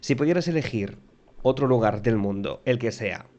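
Male host, teasing and jokey, very animated melody. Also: mid-range voice, moderate pace, lo-fi sound. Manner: teasing, laughs often, lively intonation. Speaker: host interviewing guests. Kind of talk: playful casual interview.

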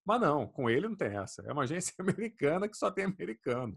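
A man, chatting casually as a podcast co-host, animated and swearing freely. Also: a little high, very fast, gravelly, slightly rough. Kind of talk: casual chat.

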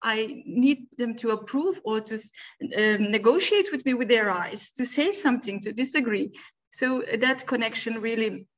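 A middle-aged woman whose first language is Turkish, a teacher answering interview questions warmly. She laughs often and speaks with halting phrasing and many fillers. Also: a little high, poor audio. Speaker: teacher and podcast guest.

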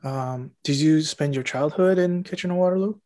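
A man's voice: fairly high pitch, moderate pace, mellow. A man hosting an interview, asking questions in an easygoing way with small fillers. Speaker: interview host. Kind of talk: casual interview.

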